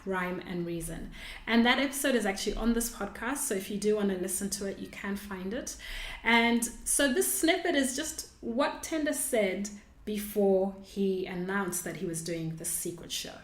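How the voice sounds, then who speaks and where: A woman hosting a podcast, talking conversationally in an earnest, reflective and expressive way, both narrating and interviewing. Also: mid-range voice. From Australia, lived in Perth before Melbourne. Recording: roomy, echoing sound.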